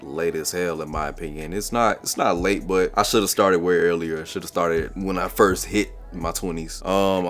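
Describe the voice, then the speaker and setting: A man in his twenties talking casually to his viewers, giving relaxed, slangy advice and often repeating words while he thinks. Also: sits low. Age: 20s. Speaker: vlogger. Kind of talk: casual advice talk to camera.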